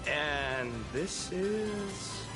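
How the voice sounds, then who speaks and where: A man's voice: high-pitched, animated, extremely slow, music in the background, exaggerated voice. A man voicing a gracious, courteous venue greeter in a formal, theatrical anime game style. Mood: courteous, welcoming.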